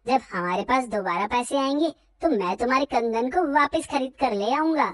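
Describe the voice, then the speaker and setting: A woman voicing a poor, apologetic young husband in a cartoon story, speaking softly in a lowered voice. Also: moderate pace, no room sound, lightly acted. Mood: gentle.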